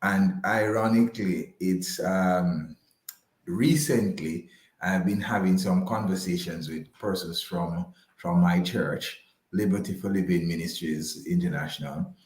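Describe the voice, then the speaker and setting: A man speaking as an interview guest, measured and courteous, with slightly formal wording. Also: low-pitched, darkish tone, roomy sound. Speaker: interview guest, financial advisor. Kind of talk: conversational radio interview.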